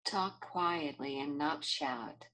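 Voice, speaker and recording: A synthetic woman's voice reading short phrases from a speech app in a flat, clipped way. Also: sits low, takes it slowly, rough recording. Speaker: talking button on a communication app.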